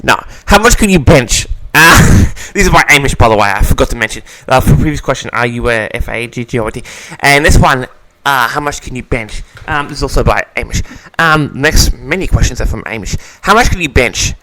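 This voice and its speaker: A man's voice: medium pitch, expressive, a little fast, few pauses, pushed and bright, no room sound, low-quality recording. A man hosting a casual audio log, reading out audience questions and answering them in a theatrical, mock-grand manner.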